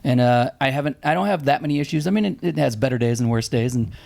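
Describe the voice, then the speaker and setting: A man's voice: medium pitch, fast, creaky, a little mellow, dry recording. A male band vocalist in a casual podcast chat, laid-back and blunt, cursing freely.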